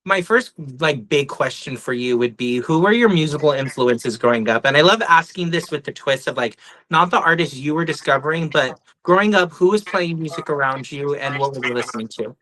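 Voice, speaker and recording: Male podcast host, bright and a touch high, enthusiastic interview conversation. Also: expressive, a little fast, poor audio.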